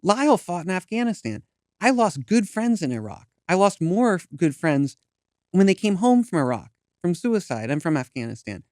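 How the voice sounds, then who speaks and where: A man's voice: high-pitched, big pitch swings, normal speed, darkish tone, no room sound, clean studio sound. A man in his thirties, an activist guest in an interview, speaking earnestly and emphatically with some stumbles. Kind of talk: impassioned interview talk.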